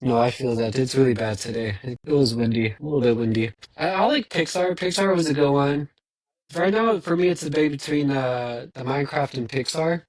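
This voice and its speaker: A man's voice: medium pitch, a little fast, rough recording. A young man chatting casually as a guest, earnest and prone to repeating himself. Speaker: podcast guest. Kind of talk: casual chat.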